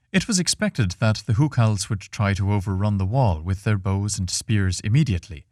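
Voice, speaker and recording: A male narrator reads fiction in a fairly low voice. His delivery is measured and solemn, with dramatic phrasing suited to an epic tale. The sound is dry, with very little room around it. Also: a little mellow, dry recording. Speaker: narrator reading a fiction podcast. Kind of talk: scripted storytelling narration.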